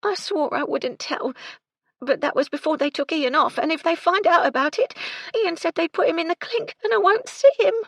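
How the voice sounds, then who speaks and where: A woman's voice: high-pitched, lively intonation, moderate pace, fairly bright tone, no room sound, studio quality, pitched above the performer's own voice. A woman voicing a distraught, outspoken young housemaid in a story, with colloquial, ungrammatical servant speech. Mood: tearful, anxious.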